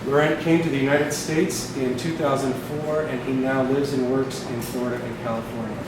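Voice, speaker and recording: A man's voice: mid-range voice, little pitch movement, normal speed. A man hosting at a gallery, giving a formal introduction of a guest artist. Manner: formal, ceremonial. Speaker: gallery host. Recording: echoey gallery, distant and noisy.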